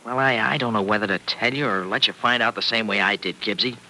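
Folksy uncle, very bright forceful voice, fretful and sardonic, vintage radio drama, noisy old recording. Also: male voice, on the low side, quick pace. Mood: irked, sardonic. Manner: folksy, hesitant, colloquial. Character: Uncle Freddy, gruff-hearted fretful family man, protective and sardonic. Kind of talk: old-time radio serial acting.

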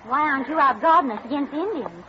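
An actress playing a young, prim frontier schoolmistress who is firm but easily flustered, in old-time radio drama style. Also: female voice, high voice, lively intonation, fast talker. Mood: flustered, coy. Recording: muffled, noisy vintage radio recording with crowd.

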